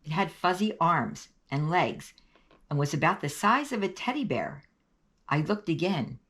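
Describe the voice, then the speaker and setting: A woman reads a children's story aloud as its host. Her voice sits low for a woman but moves up and down in a lively way. She shifts her intonation as characters speak. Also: unhurried, slightly rough. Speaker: host reading picture books for children.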